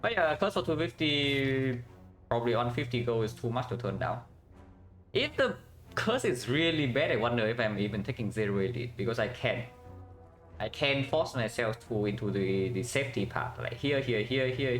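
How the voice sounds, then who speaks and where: A man's voice: medium pitch, sing-song, normal speed, faint music behind. A man with a Vietnamese accent streaming a card game, thinking aloud and chatting with viewers, often ending with "yeah?". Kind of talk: casual live-stream commentary.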